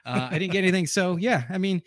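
A man's voice: high voice, quick pace, no room sound, studio quality. A man hosting a casual podcast, joking and rambling as he introduces guests. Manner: joking, rambling, laughs a lot. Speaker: host.

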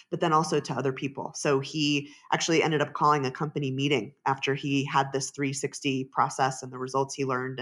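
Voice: mid-range voice, fairly bright tone. An author and researcher answering interview questions in a thoughtful, explanatory way, with frequent restarts.

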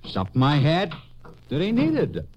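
An actor playing a nervous, evasive army soldier in a 1950s radio drama, stammering, hesitating and pleading. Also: male voice, on the high side, wildly swooping pitch, moderate pace, a little mellow, highs cut off, slight background noise. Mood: evasive, uneasy. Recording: old-time 1950s radio recording.

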